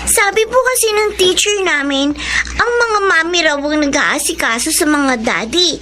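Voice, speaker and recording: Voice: high-pitched, animated, normal speed, background noise. A performer in a radio drama playing a sweet, earnest young daughter who longs for a mother. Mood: sweet, eager.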